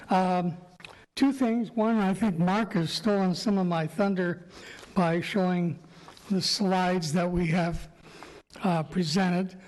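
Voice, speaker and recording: A man with a sore throat and a slightly raspy voice, giving a halting public presentation to a city council. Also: high voice, steady tone. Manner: slow, halting, many fillers. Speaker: applicant speaking at council meeting. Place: council chamber. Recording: echoey council chamber.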